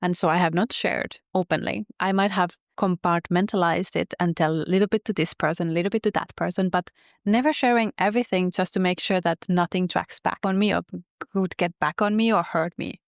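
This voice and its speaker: A woman with a Finnish accent, a podcast guest speaking in an earnest, measured way. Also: on the low side, steady tone, no room sound, studio quality. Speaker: podcast guest, health coach. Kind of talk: reflective interview talk.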